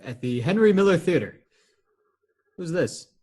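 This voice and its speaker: An actor playing an indignant, sardonic brother in a radio play, scornful and emphatic. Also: male voice, a little high, big pitch swings, a little slow, darkish tone, slightly rough, heavily acted. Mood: bewildered. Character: indignant, sardonic brother confronting a hated sibling.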